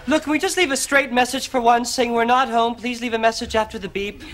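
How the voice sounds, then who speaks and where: A man's voice: very high voice, steady tone, a little fast. An actor in his thirties playing a fed-up young girlfriend in a TV comedy sketch, weary, sulky and snapping back. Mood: weary, pleading. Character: fed-up, put-upon young girlfriend, a woman. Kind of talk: TV sketch comedy drag acting. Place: TV studio apartment set.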